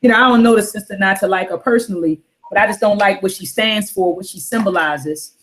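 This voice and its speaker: A woman's voice: mid-range voice, a little fast, fairly bright tone. A woman hosting a casual online book club chat, rambling and repeating herself. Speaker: host.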